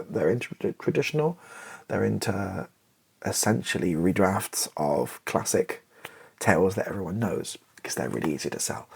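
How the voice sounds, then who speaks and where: Low, breathy British man, ASMR musing. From Britain. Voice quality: soft, breathy, partly whispered. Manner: hesitant, thinking aloud in fragments. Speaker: ASMR video creator thinking aloud while writing. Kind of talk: ASMR, casual improvised monologue.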